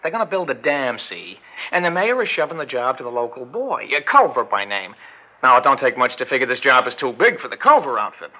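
A streetwise small-time crook from a vintage radio play. He talks bluntly in slang with very lively pitch. The sound is muffled and old. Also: a little fast. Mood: conspiratorial. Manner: slangy, blunt, deferential to the boss.

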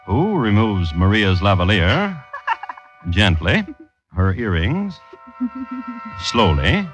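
A man hosting an old-time radio crime series, narrating from a script with deliberate, wry understatement. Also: fairly low pitch, sing-song. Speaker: host and narrator. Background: music.

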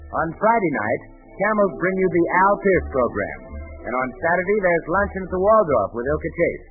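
A tinny vintage radio broadcast with music behind an American male announcer. He reads scripted copy in a fairly high voice with animated pitch.

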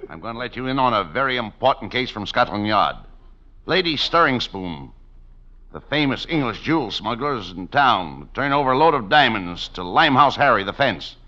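A streetwise, impatient police lieutenant in a vintage radio comedy. He is blunt and forceful, with a lively swing in pitch. The recording is dull and old. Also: normal speed. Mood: urgent, exasperated. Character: brisk, impatient male police lieutenant, detective's pal.

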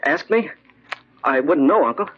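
An actor playing an anxious, desperate young schemer in a 1940s style radio horror play, with a hesitant, nervous manner. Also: male voice, fairly high pitch, animated, normal speed, slight background noise, natural voice. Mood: nervous, polite. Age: young adult. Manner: hesitant, nervous, stiffly polite. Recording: dull, lo-fi old radio recording.

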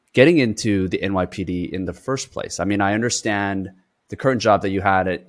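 A young man hosting a podcast interview, asking long rambling questions. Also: on the low side. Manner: rambling questions with fillers and restarts. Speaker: podcast host.

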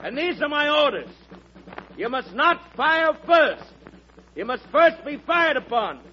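A man's voice: very high-pitched, very animated, a little slow, slight background noise, full character voice. An actor playing a fiery rebel leader rallying his men, commanding and urgent, in an old radio drama. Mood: resolute. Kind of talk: 1940s radio acting. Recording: lo-fi old radio broadcast with crowd.

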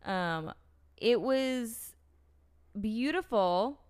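A woman's voice: on the high side, lively intonation, very slow, a little mellow, smooth. A young American woman telling a personal story in casual conversation, rambling and leaning on "like" and "um" as she restarts her sentences. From United States. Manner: rambling, heavy use of "like" and "um", frequent restarts.

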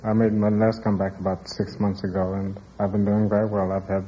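A man's voice: lowish voice, little pitch movement, fairly quick. A man answering casually and laconically as a contestant on an old radio quiz show. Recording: noisy, muffled radio studio with audience.

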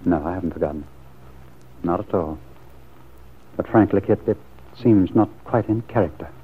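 A man's voice: sits low, moderate pace, husky. An actor playing a suspicious, brooding man in a classic radio soap opera, earnest and probing, with hesitant phrasing. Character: suspicious, brooding lover pressing for the truth. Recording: muffled lo-fi vintage radio recording.

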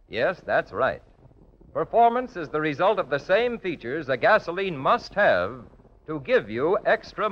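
A male announcer delivers a scripted commercial in vintage radio style. His fairly high voice moves energetically up and down, on a muffled old recording. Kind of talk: 1940s radio ad read, polished scripted pitch.

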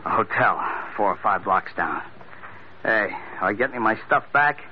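An actor playing a cocky young American hoodlum in a classic radio crime drama, slangy, surly and wisecracking. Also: male voice, on the low side, animated, measured pace. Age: young adult. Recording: muffled, hissy vintage radio broadcast.

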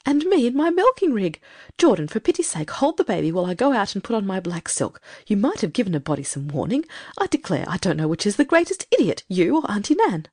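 A woman voicing a sharp-tongued, bossy farm wife who scolds and fusses. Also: mid-range voice, very animated, fast, husky, no room sound, studio quality, voice pushed down. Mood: scolding. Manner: scolding, flustered.

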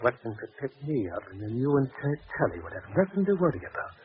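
An actor playing a brutal, defiant gangster who talks in tough slang, in old-time radio crime drama style. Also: male voice, mid-range voice, big pitch swings, quick pace, slight background noise, close to an everyday voice. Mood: menacing. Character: brutal, defiant male gangster, vengeful and menacing. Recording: muffled old radio broadcast recording.

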